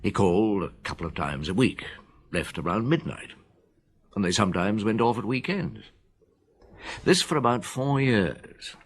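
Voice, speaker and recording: An actor playing a reflective veteran detective who narrates in a radio drama, measured and musing. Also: male voice, fairly low pitch, lively intonation, slightly rough.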